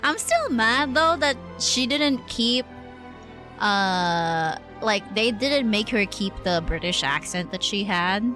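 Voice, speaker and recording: A woman livestreamer talks casually as she plays. Her mid-pitched voice swings animatedly through chatty, slangy remarks with the odd mild swear. Game music plays behind her. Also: expressive, slow, bright, forceful tone. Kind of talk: casual gameplay livestream commentary. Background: game music and dialogue.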